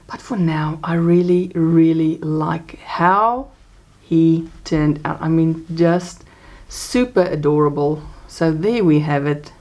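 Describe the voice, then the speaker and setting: Chatty South African woman, lowish voice. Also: slow pace. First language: Afrikaans. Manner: chatty, animated pitch jumps, laughs often. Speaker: crochet vlogger. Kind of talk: casual show-and-tell chat. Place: small home craft room, indoors.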